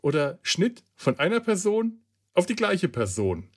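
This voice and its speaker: A man's voice: high voice, huge pitch swings, measured pace. A German-speaking male podcast host giving a critical review in casual talk, often restarting and correcting his words mid-sentence.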